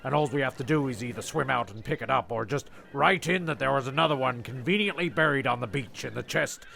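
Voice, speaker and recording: Very gravelly man, dry and rambling. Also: medium pitch, quick pace, sharp tone. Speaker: narrator and writer of a comedy show. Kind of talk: rambling comic storytelling and sketch acting.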